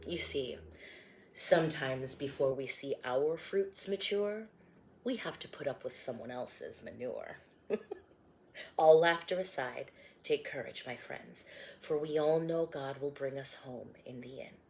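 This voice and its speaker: A woman voicing a gentle male teacher who tells rhyming parables in a measured way. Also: rumbling low, animated, gravelly, exaggerated voice.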